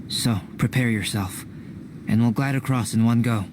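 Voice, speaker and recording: A man voicing a calm, scholarly, slightly aloof young alchemist in an anime fantasy game, with formal, deliberate phrasing. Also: mid-range voice, noisy background, close to an everyday voice. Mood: cautious, composed. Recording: game audio with clear background ambience.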